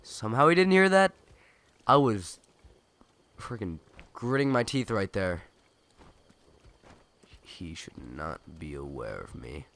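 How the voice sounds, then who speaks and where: A young man with a cold, his nose stuffed and his voice raspy, rambling chattily and correcting himself as he comments on a video game. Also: on the low side, big pitch swings, slow pace, pauses often, fairly bright tone. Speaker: let's play gamer. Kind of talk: casual gameplay commentary.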